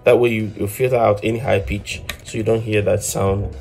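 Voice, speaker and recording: A man's voice: on the low side, flat delivery, normal speed, creaky, music in the background. A man in his thirties giving an informal hands-on tutorial as host, explaining step by step and often repeating words as he goes. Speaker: host of an electronics DIY channel.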